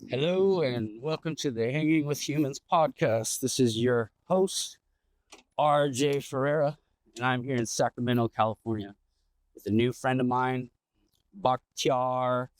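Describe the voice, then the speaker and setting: A man hosting a casual podcast interview, relaxed and rambling, with lots of fillers and restarts. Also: medium pitch, unhurried, no room sound. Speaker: podcast host. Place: outdoors in an old town district.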